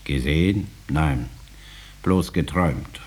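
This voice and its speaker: An actor playing a stubborn, put-upon farmer with dry cheek and a husky voice in a 1950s radio drama. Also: male voice, very deep voice, slow, darkish tone, exaggerated voice. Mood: defiant.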